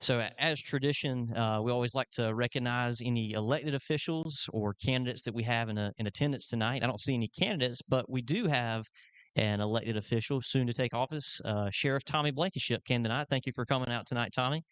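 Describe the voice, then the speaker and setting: A man opens a small community meeting in a friendly, halting way, filling gaps with "uh" and restarting his words. His voice is smooth and clear and close to the mic. The room adds almost no echo. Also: mid-range voice. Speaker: club chairman and event host. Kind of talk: opening a community meeting with prayer.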